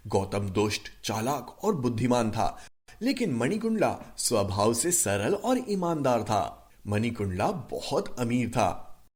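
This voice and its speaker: A man narrating a scripted animated short story as its storyteller. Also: mid-range voice, slow.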